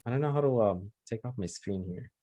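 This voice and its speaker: A man's voice: mid-range voice, brisk, mellow, no echo. Shy Filipino-accented man on a call. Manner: shy, laughing, trailing off. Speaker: guest speaker. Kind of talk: casual video call chat.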